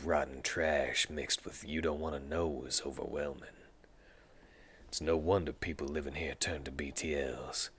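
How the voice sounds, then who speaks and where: A man reading game text aloud for a let's play in a dramatic, lively way. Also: very deep voice, a little hiss or hum.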